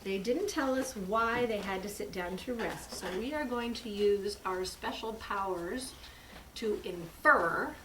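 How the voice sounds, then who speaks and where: A woman's voice: mid-range voice, measured pace, a bit bright. A woman teaching young children through an interactive read-aloud, giving instructions, asking questions and repeating answers. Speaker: teacher leading a first grade class. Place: indoor classroom with children. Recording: echoey noisy classroom, distant mic.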